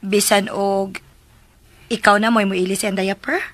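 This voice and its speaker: A woman's voice: mid-range voice, level tone, unhurried, a bit bright. A woman playing a troubled young mother in a radio drama, with a Filipino accent. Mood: wistful. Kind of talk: Cebuano radio drama acting.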